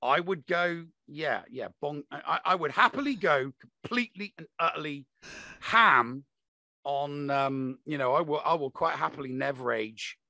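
Male gaming podcast talker: forceful, gravelly, emphatic, repeats for stress, dry sound. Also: on the high side, animated, normal speed, dry recording. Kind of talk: opinionated casual discussion of a mobile game.

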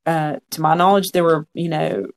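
Guest storyteller: man, 40s, gravelly fry, frank and earnest, chatty. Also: a little high, fairly even intonation, moderate pace. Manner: frank, earnest, quotes own past thoughts. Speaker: podcast guest. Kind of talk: conversational storytelling.

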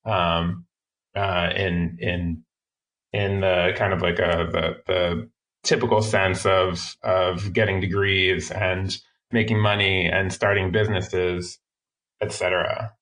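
Halting, low-voiced male filmmaker guest. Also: level tone, fairly bright tone, low-quality recording. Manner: halting, thoughtful, frequent restarts. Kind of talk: interview conversation.

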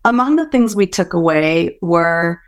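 A woman's voice: on the low side, expressive, unhurried. A woman in her forties, first language Hokkien, a physician scientist and co-founder giving thoughtful, searching interview answers with small restarts. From born in Malaysia, raised in the US.

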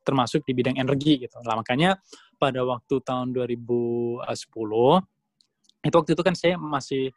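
A man's voice: mid-range voice, fairly quick, dry recording. A young man from Indonesia, a guest in an interview, explaining at length with many fillers and restarts. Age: young adult. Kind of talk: casual interview talk.

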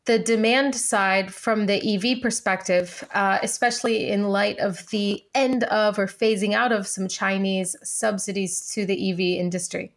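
A woman's voice: mid-range voice, fairly even intonation, a little slow, few pauses. A woman co-hosting a podcast in a conversational, analytical style, thinking aloud as she goes. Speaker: podcast co-host.

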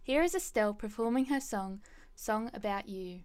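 A woman's voice: mid-range voice, expressive, moderate pace, darkish tone, clean tone, faint music behind. A teenage girl presenting as a student podcast host.

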